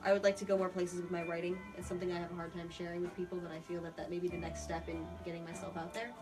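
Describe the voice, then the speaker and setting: A woman's voice: on the low side, monotone, racing. An American woman talking casually as an interview guest, earnest and a little rambling and self-effacing. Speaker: interview guest, puppeteer and theater artist. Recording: distant mic with music behind.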